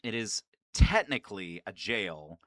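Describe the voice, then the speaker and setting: A man with a low, bright-edged voice chats as a gaming creator. He rambles enthusiastically and swears casually. The sound is very dry, with no room to it. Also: sing-song, unhurried, no room sound. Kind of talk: casual, nerdy commentary on favorite game lines.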